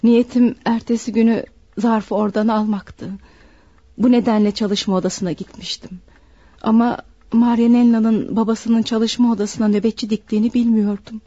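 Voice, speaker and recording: An actress playing a desperate, bitter servant woman confessing to murder in a deliberate, dramatic radio play style. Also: on the high side, level tone, mellow, no room sound, lightly acted. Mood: resigned. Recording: dull, dry radio recording.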